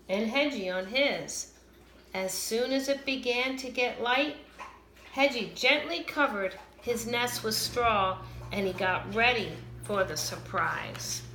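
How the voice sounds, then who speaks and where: A woman reading a children's picture book aloud in an animated, expressive storytelling manner. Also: on the high side. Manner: animated, dramatizes characters' lines. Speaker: storybook reader. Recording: roomy, echoing, some background noise.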